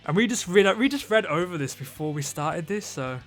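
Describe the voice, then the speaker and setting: A man's voice: sits high, animated, fairly quick, fairly bright tone. A man hosting a podcast in casual chat, joking in a mock-earnest, sweary way. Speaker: podcast host. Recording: music playing behind the voice.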